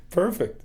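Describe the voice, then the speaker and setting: A man doing an ASMR friend roleplay, talking to the listener in a playful, encouraging way, laughing often and explaining things calmly step by step.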